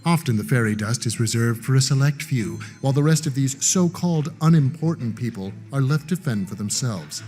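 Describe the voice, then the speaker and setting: A man's voice: mid-range voice, animated, fairly quick, hardly any pauses, darkish tone. A man narrating a show trailer in a dramatic, scripted style. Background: music.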